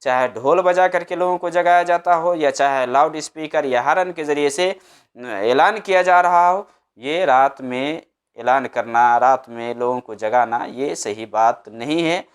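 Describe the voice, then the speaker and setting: A man's voice: mid-range voice, measured pace, smooth. A man giving religious advice as a preacher, didactic and emphatic, repeating his points in short phrases. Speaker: Islamic preacher answering a religious question.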